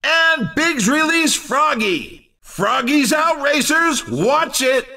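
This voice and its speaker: An actor voicing a hyped-up, playful race commentator in a video game, with theatrical, exclamatory delivery. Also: male voice, extremely high pitch, lively intonation, slow pace, vocal fry, pushed and bright, exaggerated voice. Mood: gleeful hype. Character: male hyped-up, playful arcade racing game announcer.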